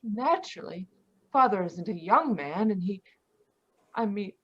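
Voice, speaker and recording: A woman's voice: mid-range voice, expressive, measured pace, breathy edge, highs cut off. A woman playing a distraught, polite young lady of the house in an old-time radio melodrama. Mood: subdued. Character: distraught, polite grown daughter of murdered man. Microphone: video call.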